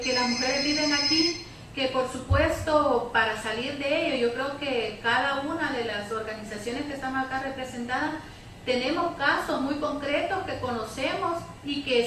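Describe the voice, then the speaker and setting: High-voiced Salvadoran woman, very echoey. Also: pushed and bright. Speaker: panelist from a women's support organization. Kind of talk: spontaneous panel commentary. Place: echoey room at a public debate. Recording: very echoey room, distant mic, faint noise.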